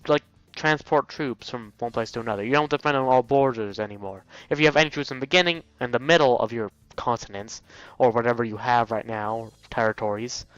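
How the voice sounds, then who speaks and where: A man with a clear, smooth voice hosts a let's play, reading text casually and tripping over words as he goes. He drops in his own offhand asides. The sound is dry and a little dull. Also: mid-range voice, a bit bright, no echo. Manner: stumbles over words, chuckles, breaks off into asides. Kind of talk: casual read-aloud game commentary.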